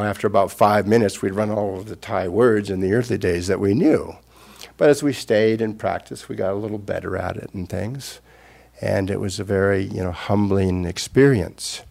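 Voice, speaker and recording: An older man, a Buddhist teacher, giving an unscripted Dharma talk in a gentle, reflective way with pauses. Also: low-pitched, gravelly.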